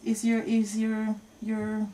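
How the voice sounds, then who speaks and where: A woman hosting a radio show, asking friendly questions with some hesitation and steady encouraging murmurs. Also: medium pitch, slow, far from the mic, a little hiss or hum. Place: radio studio.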